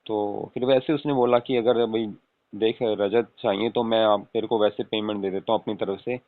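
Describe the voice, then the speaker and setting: A man's voice: fairly low pitch, steady tone, normal speed, close to an everyday voice. A man playing an affectionate young husband on a casual late-night call, coaxing and often asking for agreement. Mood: explaining, reassuring. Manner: coaxing, repeatedly seeking agreement with tag questions. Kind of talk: acted casual phone conversation with spouse. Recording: tinny phone-call audio with room echo.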